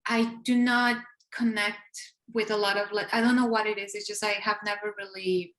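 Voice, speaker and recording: A woman's voice: mid-range voice, level tone, normal speed, a bit bright, echoey room, poor audio. A young woman from Ecuador with a light Spanish accent, chatting as an interview guest, laughing often and restarting her sentences. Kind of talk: casual interview chat.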